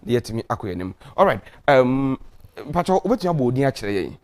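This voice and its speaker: A man's voice: mid-range voice, very animated, fast. A male talk show host with a Ghanaian accent speaks in a mid-range voice. His interview style is casual and conversational, with short exclamations dropped in as he goes.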